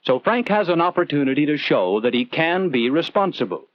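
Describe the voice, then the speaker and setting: A man's voice: a little high, big pitch swings, a little fast, lo-fi sound. A man narrating a scripted 1940s instructional film in an earnest, conversational storytelling manner, putting questions to the viewer, on an old film soundtrack.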